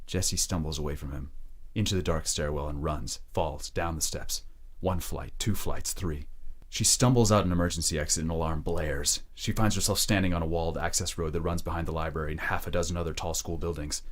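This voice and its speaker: A male narrator with a remarkably deep voice. He reads scripted scene description for an audio drama. His delivery is measured and descriptive. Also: steady tone.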